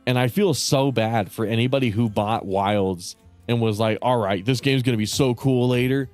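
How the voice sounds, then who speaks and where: Man, streamer, casual rambling chat, swears, fillers, voice turning raspy, medium pitch. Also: normal speed, a little mellow, soft background music. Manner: rambling, profane, many fillers and restarts. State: voice getting raspy.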